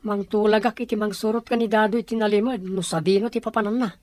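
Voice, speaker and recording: A woman's voice: mid-range voice, steady tone, fast talker, a little mellow, no room sound, close to an everyday voice. A woman with an Ilocano accent acting a suspicious, plotting character in a radio drama.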